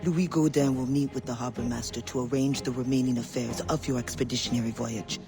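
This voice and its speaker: A proud, guarded Haitian informant from a video game, voiced by an actor. The delivery is formal, deliberate and world-weary, with music clearly playing underneath. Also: medium pitch, fairly even intonation, natural voice.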